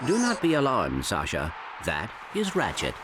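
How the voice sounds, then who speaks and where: A courteous little robot from a video game. He speaks formally and precisely in a lowish voice with very lilting intonation. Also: huge pitch swings, moderate pace, background noise. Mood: calm, courteous. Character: polite, literal-minded little male robot sidekick.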